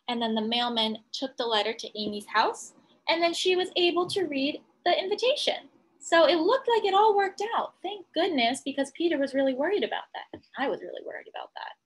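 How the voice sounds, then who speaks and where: A woman teacher reading a picture book aloud to young children, pausing to explain things simply and ask questions. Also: high voice, low-quality recording. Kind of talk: children's read-aloud with commentary.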